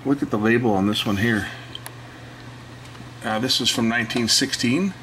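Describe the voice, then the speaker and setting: Male host, casual hands-on show-and-tell, thinks aloud, mid pitch, noisy workbench recording. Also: normal speed, pushed and bright. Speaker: host showing old records. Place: indoors at a cluttered electronics workbench.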